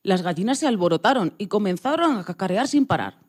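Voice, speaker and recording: A narrator telling a scripted children's story with expressive delivery. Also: mid-range voice, fairly quick.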